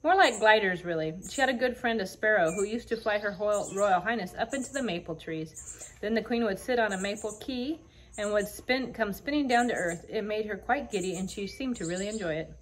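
A woman's voice: mid-range voice, a little fast, slight background noise, natural voice, poor audio. A woman acts out a tiny, kindly storybook king who dotes on his household. He speaks in a courtly, rather fussy way, with formal turns of phrase and an eager devotion. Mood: chatty, fond. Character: small, kindly, devoted homebody mouse-sized king.

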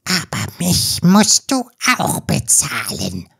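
A woman playing a sinister, scheming sea witch in a fairy-tale audiobook, with a hoarse, breathy whisper and slow, ominous delivery. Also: lowish voice, sing-song, a bit bright, heavily acted. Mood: demanding, scheming.

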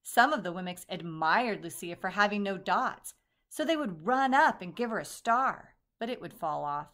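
A woman reading a children's picture book aloud, her voice rising and falling with lively, animated expression. Her pitch sits in a middle range and she tells the story with care. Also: moderate pace. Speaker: storybook reader.

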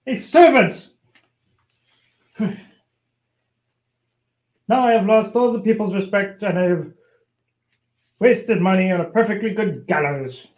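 Man playing a high-voiced, self-important scheming lord. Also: echoey room. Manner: indignant, complaining. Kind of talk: amateur old-time radio play acting.

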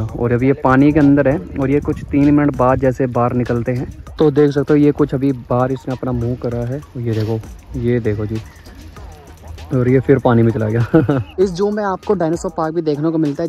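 A man's voice: medium pitch, warm tone, highs cut off. A man vlogging a casual walking tour of a zoo, chatty and enthusiastic, often exclaiming, recorded outdoors on a handheld camera. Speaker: travel vlogger.

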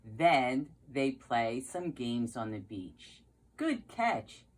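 A woman's voice: a bass voice, sing-song, very slow. A middle-aged woman reading a picture book aloud to young children like a preschool teacher, with questions and exclamations. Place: carpeted basement room at home, indoors.

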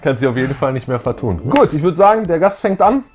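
A man's voice: a little high, big pitch swings, quick pace, background noise. A middle-aged man hosting a casual fan chat in a jokey, colloquial way. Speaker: YouTube show host. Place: small room full of posters and collectibles, on a couch.

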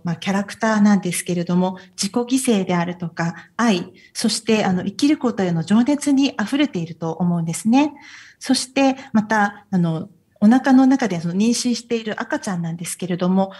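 Husky-voiced woman interpreting. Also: medium pitch, lively intonation, normal speed, darkish tone. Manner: careful, filler-laden delivery. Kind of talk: consecutive interpreting, English and Japanese.

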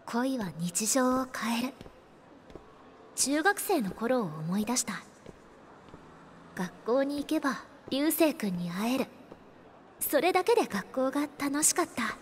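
A woman's voice: high-pitched, big pitch swings, slow pace, long pauses, slight background noise, full character voice. An actress playing a timid, lovelorn young woman in a radio drama, wistful and introspective, moving between narration and dialogue. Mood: hopeful, tender.

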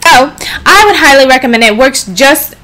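A young woman in her twenties with a slightly raspy voice, chatting casually to camera in a bedroom as a beauty vlogger, just up from a nap. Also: high-pitched, moderate pace, rough recording. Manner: chatty, expressive hand gestures, playful asides. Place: bedroom, indoors. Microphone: camera in front at desk distance.

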